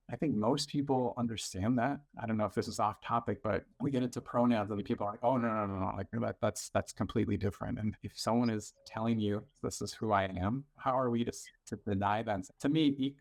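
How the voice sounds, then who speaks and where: A man's voice: lowish voice, a little mellow, dry recording. A man in a reflective conversation, speaking thoughtfully and a little hesitantly. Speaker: conversation partner.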